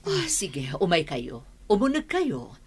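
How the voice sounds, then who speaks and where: A woman's voice: medium pitch, huge pitch swings, unhurried, husky, full character voice. A woman acting a welcoming, easygoing middle-aged mother in an amateur audio drama.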